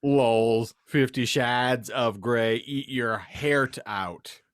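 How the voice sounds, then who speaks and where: A man hosting a podcast, giving a theatrical comic reading of fan fiction and halting over odd words. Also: mid-range voice, no echo, clean studio sound. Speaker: podcast host and narrator.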